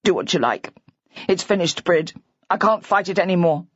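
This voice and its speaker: A woman's voice: fairly low pitch, lively intonation, moderate pace, husky, no echo, dull audio. A woman voicing a distraught older husband whose words tumble out in a rambling, hysterical rush. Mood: defeated.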